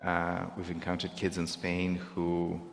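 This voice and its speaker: A middle-aged man, a native Russian speaker, addresses a congregation in a low, gravelly voice. He talks earnestly and haltingly, often restarting as he searches for words. His voice rings through a large room with a long, echoing reverb. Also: monotone, slight background noise. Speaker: guest speaker at a service. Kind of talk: reflective talk to a congregation. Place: synagogue sanctuary. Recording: echoey hall, long reverb.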